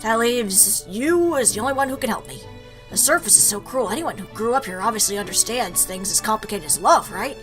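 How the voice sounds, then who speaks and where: A woman's voice: fairly high pitch, animated, a little fast, over music, natural voice. A woman voicing a fierce, blunt female fish warrior from a game who is awkward about love. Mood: earnest. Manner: blunt, brash.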